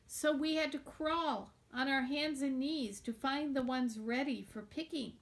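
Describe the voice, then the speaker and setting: A woman reading a children's novel aloud in a deliberate, expressive storytelling manner, like a teacher with a class book. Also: sits high, a little hiss or hum.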